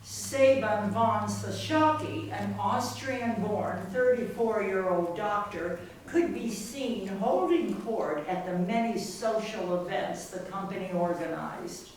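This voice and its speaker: A woman's voice: mid-range voice, expressive, takes it slowly, hardly any pauses, darkish tone. A woman giving scripted narration for a readers theater performance. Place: library readers theater performance, distant microphone. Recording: very echoey, distant mic, faint noise.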